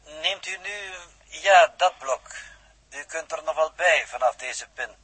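Male Dutch renegade scientist, 1960s radio drama: formal, precise, enigmatic, slightly raspy, recorded dry. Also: fairly high pitch, takes it slowly, pushed and bright, no echo, exaggerated voice, rough recording. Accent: Netherlands Dutch. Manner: pedantic, elaborately polite, precise.

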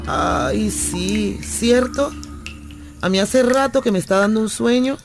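Creaky, very sleepy woman. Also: mid-range voice, slow pace, music in the background. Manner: drowsy, drawling. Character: very sleepy woman confiding in friend. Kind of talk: intimate radio drama dialogue.

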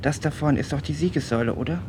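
A man's voice: rapid-fire, close to an everyday voice. Man playing a naive, rambling teenage boy, noisy recording. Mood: apologetic, flustered. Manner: rambling, apologetic, earnest. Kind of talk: German radio play dialogue. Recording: noisy, rumbling radio recording.

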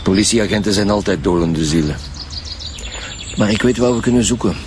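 An actor with a Flemish accent playing a dry, insistent police commissioner in a radio drama, probing with pointed remarks. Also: male voice, lowish voice, brisk, noisy background, close to an everyday voice. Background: music in the background.

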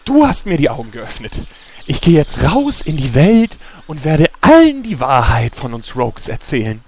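A man playing a smug, sly villainous rogue in a parody fantasy audio drama, speaking in a theatrical, drawn-out way. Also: on the high side, wildly swooping pitch, darkish tone, heavily acted. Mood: dramatic.

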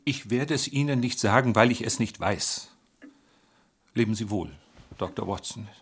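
An actor playing a proud, aloof government gentleman in a radio drama, speaking formally and precisely. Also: on the low side, normal speed, slight background noise, lightly acted. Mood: guarded, aloof.

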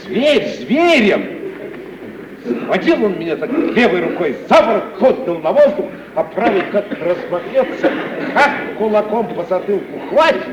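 An actor playing a meek, plaintive provincial comedian in an old radio play, fussing and repeating himself in whining protest. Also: male voice, high voice, sing-song, unhurried. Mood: aggrieved storytelling. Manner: stammering repetitions, whining, fussy protest. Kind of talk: mid-century Russian stage radio acting. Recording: muffled, noisy, roomy 1950 radio recording, off-mic.

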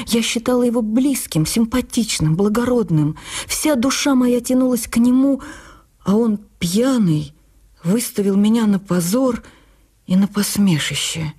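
An actress playing an earnest, wounded woman writer, narrating her memoir for radio in an expressive, confiding, deliberate manner. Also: medium pitch, slightly rough. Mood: hurt, indignant. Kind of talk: dramatized first-person literary narration for radio.

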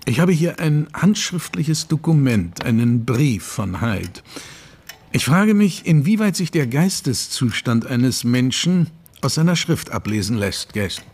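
Urbane, lively-toned older gentleman lawyer. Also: mid-range voice, husky, full character voice. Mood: troubled, pondering. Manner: urbane, measured, wry. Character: genial, worldly older London lawyer, gentlemanly and dry. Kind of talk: German radio play dialogue.

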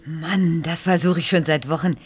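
An actress playing a chatty, amused waitress in a German radio play. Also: female voice, low-pitched, fairly even intonation, moderate pace, slightly rough. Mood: amused, curious.

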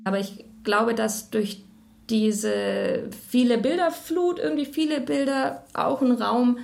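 A woman's voice: fairly high pitch, unhurried, vocal fry. A woman in spontaneous discussion, speaking emphatically in loose phrases and repeating words. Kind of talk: spontaneous discussion about images. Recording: loud music behind the voice. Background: loud music.

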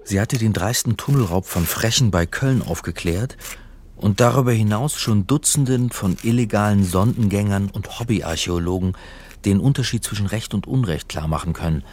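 A man's voice: fairly low pitch, normal speed, darkish tone, slightly rough. An actor playing a wry, exasperated male academic turned amateur sleuth in a German radio crime drama, speaking conversationally with some hesitation. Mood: wry narrating. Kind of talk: German radio play, narration and dialogue.

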